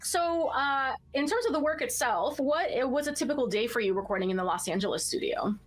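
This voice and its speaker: A woman's voice: sits high, animated, a little fast, soft background music. A woman hosting an interview in a chatty way, tossing in joking asides between questions. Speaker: interviewer and producer.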